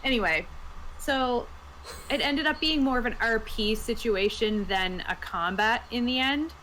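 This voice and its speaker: A woman's voice: fairly high pitch, unhurried, a bit bright, slight background noise, low-quality recording. A woman game master telling an informal anecdote in a casual panel chat.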